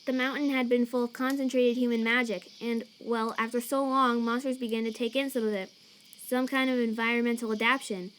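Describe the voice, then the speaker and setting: A woman voicing a nervous female monster scientist in a fan comic dub, earnest and anxious, with a halting stammer. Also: on the high side, fairly even intonation, fairly bright tone, slight background noise, lightly acted, poor audio. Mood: hesitant, explanatory. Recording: chirping crickets and night ambience behind.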